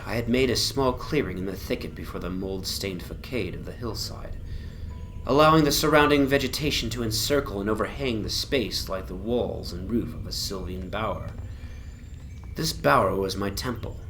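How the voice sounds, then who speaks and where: A man voicing an obsessed, morbid gentleman in a dramatic Gothic horror reading, with deliberate phrasing that often sinks into breathy whispers. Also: lowish voice, expressive, poor audio. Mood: dreamy obsession. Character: obsessed, morbid gentleman recounting his haunted past. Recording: ambient soundscape noise behind the voice. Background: atmospheric horror soundscape.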